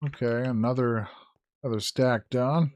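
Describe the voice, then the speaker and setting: A hoarse man who is losing his voice, giving casual gameplay commentary, thinking aloud with fillers and self-corrections. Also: mid-range voice, fairly even intonation, normal speed, darkish tone. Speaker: gaming YouTuber. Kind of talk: casual Let's Play commentary.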